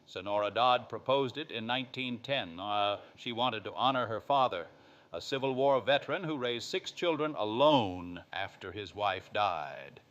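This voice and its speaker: A man's voice: lowish voice, animated, normal speed, a bit bright, highs cut off. A man playing a folksy, grumbling 1950s sitcom father who narrates in a dry, wry, plain-spoken way. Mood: measured, matter-of-fact. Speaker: show host. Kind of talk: scripted narration.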